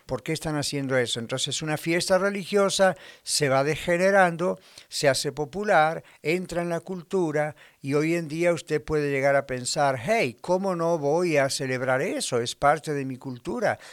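A man's voice: fairly high pitch, clear, resonant tone, no room sound, studio quality. A man from Argentina preaching a sermon in a conversational way, full of rhetorical questions and imagined dialogue. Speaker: pastor.

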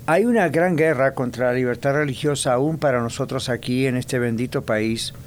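A man, a pastor hosting a church radio talk show, giving announcements in an inviting way and repeating details slowly. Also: mid-range voice, a little hiss or hum.

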